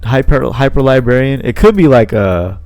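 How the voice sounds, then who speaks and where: A man's voice: medium pitch, expressive, moderate pace, a little mellow, no echo, faint music behind. A man giving casual play-by-play commentary on a card game match, thinking aloud with frequent fillers. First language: not Italian.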